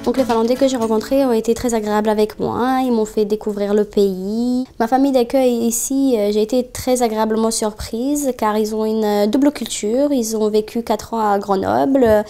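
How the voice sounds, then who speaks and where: A woman in her twenties, originally from Bosnia, talking about her internship in an interview, earnest and pausing often with fillers. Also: on the high side, fairly even intonation, dark tone, faint music behind. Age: 20s. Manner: earnest, with frequent pauses and fillers. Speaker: student intern. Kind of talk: documentary interview.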